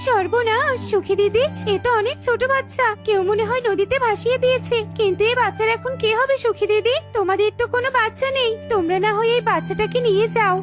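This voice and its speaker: Woman as a squeaky, anxious cartoon parrot. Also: quick pace, hardly any pauses, soft background music. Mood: alarmed. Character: small pleading female parrot, poor and anxious. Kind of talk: animated children's cartoon dialogue.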